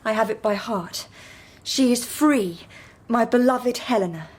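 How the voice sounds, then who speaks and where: An actress playing a determined, devoted young Victorian wife in a period radio drama, with intense, emotional delivery. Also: female voice, on the high side, measured pace. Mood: pained, earnest.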